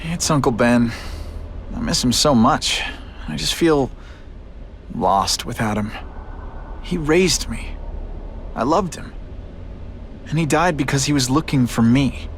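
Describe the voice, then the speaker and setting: An actor playing an earnest, troubled young superhero in a video game, speaking with measured conviction. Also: male voice, medium pitch, lively intonation, slight background noise. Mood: grieving. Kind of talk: video game dramatic acting. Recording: loud music over dialogue.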